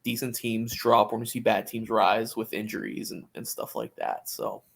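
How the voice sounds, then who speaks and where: A man's voice: fairly low pitch, steady tone, normal speed, vocal fry. A man co-hosting a sports podcast in casual, opinionated conversation, often restarting his phrases. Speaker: soccer podcast co-host.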